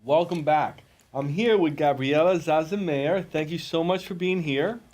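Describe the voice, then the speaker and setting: A man hosting a talk show and working as a hypnotherapist, asking questions in a relaxed way with a few fillers. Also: fairly high pitch. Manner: slow dramatic delivery in the intro, then relaxed questioning. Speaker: host, hypnotherapist.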